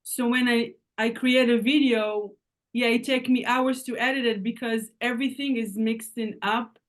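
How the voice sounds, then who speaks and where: Halting woman with a Hebrew accent. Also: fairly high pitch, fairly even intonation. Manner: halting, searching for words. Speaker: participant on a coaching call. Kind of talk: casual question on a video call. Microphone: video call.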